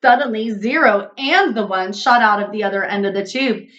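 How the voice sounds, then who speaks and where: A woman narrating a children's storybook in an expressive read-aloud style. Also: mid-range voice, moderate pace, highs cut off.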